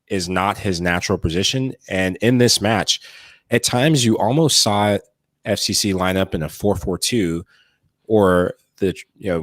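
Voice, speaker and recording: Deep-voiced man gushing about a player. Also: no room sound. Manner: animated, gushing praise. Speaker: podcast co-host. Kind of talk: enthusiastic soccer analysis.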